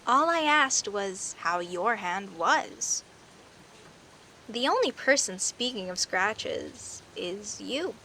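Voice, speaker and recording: A woman's voice: medium pitch, lively intonation, pushed and bright, exaggerated voice. A woman playing a friendly, teasing werecat neighbor in an audio roleplay, talking conversationally and straight to the listener. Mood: sly, teasing. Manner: teasing, indignant, conversational with sighs and pauses. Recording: faint hiss and rain noise. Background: rain ambience.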